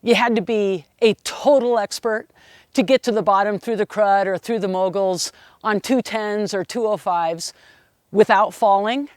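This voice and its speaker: A middle-aged woman ski instructor giving an opinionated lesson on equipment in a strong, loud, emphatic voice. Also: medium pitch, fairly even intonation, a little slow, no room sound, clean studio sound. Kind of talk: instructional video talk.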